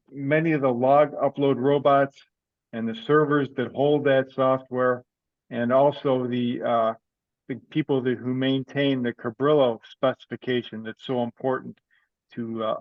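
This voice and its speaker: A man leads an online meeting in a plain, conversational voice at a middle pitch. He talks informally and haltingly, thinking aloud as he goes. The sound is a slightly dull video-call recording with a dry, close room. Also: no echo. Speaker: online meeting presenter for an amateur radio group. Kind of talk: informal meeting update, unscripted.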